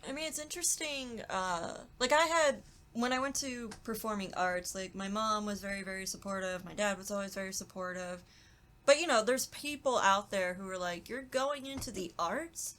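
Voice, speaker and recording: A woman's voice: mid-range voice, normal speed, fairly bright tone. A woman who performs, chatting casually on a podcast talkback. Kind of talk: casual chat. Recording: faint background noise.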